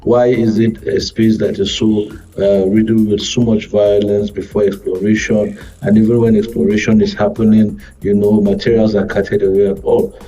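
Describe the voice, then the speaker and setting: A Nigerian man offers formal, measured commentary in wordy, halting phrases. His voice is lowish and very dark and mellow, slightly distant from the microphone. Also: flat delivery. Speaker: guest governance commentator. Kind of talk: formal analytical panel commentary. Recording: muffled distant microphone, faint music behind.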